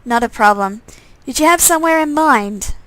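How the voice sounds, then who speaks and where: Easygoing visual novel young man, voiced by a woman, offhand, hedging delivery, bright tone, dry sound. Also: fairly high pitch, animated, normal speed, no echo. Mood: mild, hesitant. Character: easygoing young man, casual supernatural know-it-some.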